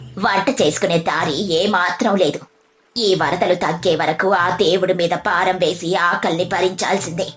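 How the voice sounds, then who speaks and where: Woman voicing a low, gentle, pious village woman. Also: fairly even intonation, unhurried, faint music behind. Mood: resigned. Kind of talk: scripted moral-story cartoon dubbing.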